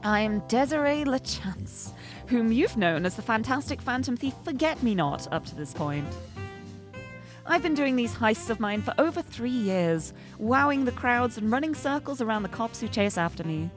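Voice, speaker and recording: A woman's voice: on the high side, expressive, moderate pace, over music, close to an everyday voice. A woman reading as a cheeky, confident young female phantom thief, narrating in first person in a breezy, playful way.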